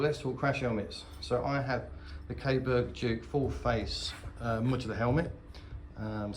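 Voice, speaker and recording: A middle-aged man with a soft, dark-toned voice talks casually through a gear review. He is chatty and plain-spoken, and some background noise sits behind him. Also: medium pitch. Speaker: vlogger reviewing motorcycle gear. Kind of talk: casual unboxing and review talk.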